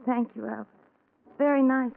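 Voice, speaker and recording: An actress playing a worried, grieving but stubborn young wife in 1940s radio drama style. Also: female voice, on the high side, fairly even intonation, moderate pace, vocal fry, a little hiss or hum. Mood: anguished, listless. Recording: tinny 1940s radio recording.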